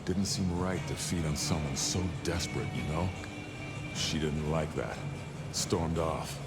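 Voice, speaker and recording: Vampire club keeper, deep voice, casual drawl, video game dialogue, loud music behind. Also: male voice, a little slow. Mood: casual, wry.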